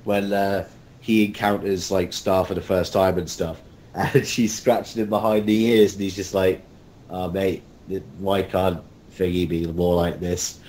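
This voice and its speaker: A man's voice: level tone, measured pace, darkish tone. A man in a casual group chat as an interviewed voice actor, talking in a rambling, jokey way over an online call. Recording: rumbly, noisy online call.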